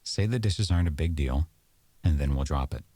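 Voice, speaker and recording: A man's voice: extremely low pitch, animated, brisk, dark tone, dry recording. A man playing an aggrieved, sarcastic boyfriend arguing at home in an audio roleplay, accusatory and exasperated. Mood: defiant, bitter. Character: aggrieved, sarcastic boyfriend in a heated domestic argument.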